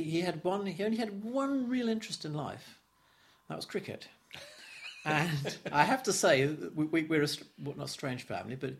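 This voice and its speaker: An older man in his seventies, from London, looks back on his early years in an oral history interview. He talks in a reflective, stop-start way, tripping over repeated words and chuckling as memories surface. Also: a little high. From London, England. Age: 70s.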